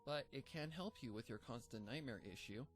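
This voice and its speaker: A man reading a fanfic aloud, voicing an earnest, bookish young female pony in a gentle, lightly raised voice. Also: mid-range voice, animated, moderate pace, faint music behind, close to an everyday voice. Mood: reassuring.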